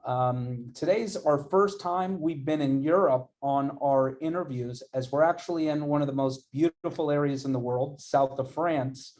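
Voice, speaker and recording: A man's voice: a little high, normal speed, few pauses, darkish tone. A man hosting an online interview series, upbeat and effusive. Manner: enthusiastic, effusive. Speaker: interview host. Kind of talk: upbeat video-call interview.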